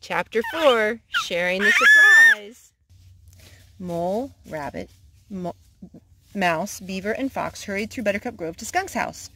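Woman reading a storybook, lively intonation. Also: medium pitch, a little slow, very noisy. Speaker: storyteller reading a children's picture book. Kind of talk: read-aloud storytime narration.

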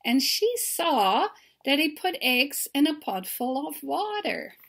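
A woman in her sixties telling a story to children as its author, pausing to put questions to them. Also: sits high, sing-song, a bit bright. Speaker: children's author reading aloud. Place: book-lined home study.

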